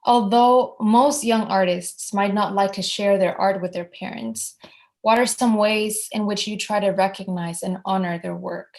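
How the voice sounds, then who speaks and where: A woman moderating a panel discussion who asks questions in a soft-spoken, slightly halting way. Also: medium pitch, steady tone, creaky.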